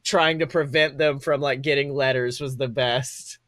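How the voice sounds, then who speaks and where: A man's voice: mid-range voice, steady tone, normal speed, a bit bright, no echo. A man co-hosting a podcast, bantering casually in an irreverent, slangy, foul-mouthed way. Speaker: podcast co-host.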